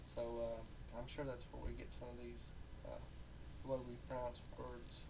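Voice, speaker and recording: A man speaks casually with a softened Southern Appalachian accent at a middling pitch. His delivery is folksy and halting, as in an unscripted personal talk. A faint rumbling noise sits behind the voice in what sounds like a home recording. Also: completely flat delivery, vocal fry. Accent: Southern Appalachian American, somewhat softened. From rural Southern United States, southern Appalachia.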